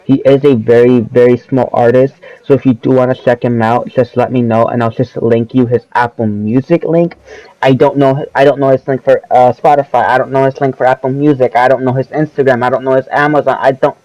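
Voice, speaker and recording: An eighteen-year-old man talks through his weekly album picks in a loose, rambling way, tripping over names and correcting himself as he goes. His voice is dark and mellow and sits a little low from an oncoming cold. It comes through a dull, lo-fi mic in a dry, close space. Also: fairly even intonation, a little fast, dry recording. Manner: rambling, self-correcting, casual slang. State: voice lowered from oncoming sickness. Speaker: album review channel host. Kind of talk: casual spoken album reviews.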